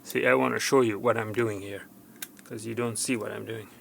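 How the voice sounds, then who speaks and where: A man's voice: on the low side, fairly even intonation, normal speed, gravelly, a little hiss or hum. A man giving an unscripted hands-on tutorial as a repair technician, instructive and cautioning, often repeating himself for emphasis.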